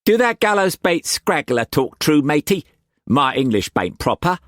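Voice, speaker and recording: A swaggering pirate boss with a harsh Cockney accent, as a man puts him on. He talks high and loud, jovial but with menace beneath every offer of food. The storybook recording sounds dry and close. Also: big pitch swings, a little slow, dry recording. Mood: boisterous. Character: boisterous pirate chief man in Cockney slang.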